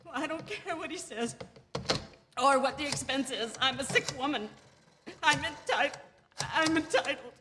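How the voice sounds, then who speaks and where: An actress playing an anxious, demanding, self-pitying invalid wife in a theatrical radio drama, pleading and imperious. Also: female voice, high-pitched, moderate pace, pauses often, background noise, natural voice. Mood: frantic impatience.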